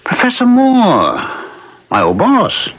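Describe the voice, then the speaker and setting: A playful charmer of a professor in vintage radio drama. His husky voice swoops dramatically as he flatters, on a dull old recording. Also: sits high, slow pace, heavily acted. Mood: flirtatious. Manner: gushing, playful, theatrical.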